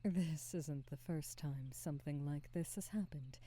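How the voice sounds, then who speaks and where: Woman playing a hushed, slow-spoken kindly old witch. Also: low-pitched, no echo. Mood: calm, measured. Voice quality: soft, breathy half-whisper. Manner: slow, measured, soothing, formal wording. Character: kindly centuries-old witch shopkeeper, gentle, motherly, wise and calm. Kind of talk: ASMR roleplay.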